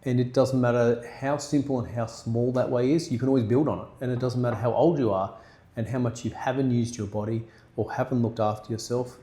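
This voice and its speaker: A man's voice: mid-range voice, steady tone, a little fast, warm tone. A man co-hosting a health podcast. He talks in an earnest, encouraging way and keeps checking in with "right?" tags. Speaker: podcast co-host and manual therapy practitioner. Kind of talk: casual health chat. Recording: roomy echo.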